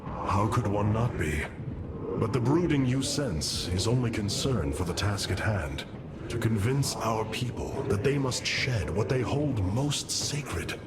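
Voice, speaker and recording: A man's voice: on the low side, animated, measured pace, very noisy. A man playing a noble, resolute alien commander in a video game, speaking formally and solemnly like an orator. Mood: solemn, reassuring. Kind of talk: dramatic video game voice acting. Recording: loud orchestral music behind the voice.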